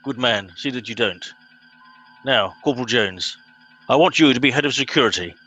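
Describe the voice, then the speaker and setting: A man playing a pompous, bossy middle-aged captain in an amateur comedy radio play, brisk and officious. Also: mid-range voice, animated, bright, forceful tone, soft background music. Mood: self-important.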